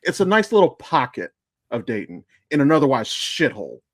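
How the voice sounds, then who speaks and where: A man's voice: mid-range voice, wildly swooping pitch, brisk, no echo. American man chatting, close dry sound. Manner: blunt, profane, self-deprecating. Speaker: podcast co-host. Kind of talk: casual chat.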